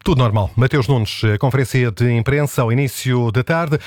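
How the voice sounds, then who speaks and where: A man presenting the radio news from a studio, measured and pausing often on fillers. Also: medium pitch, darkish tone. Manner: measured, with frequent hesitation fillers. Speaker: news anchor. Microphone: studio microphone.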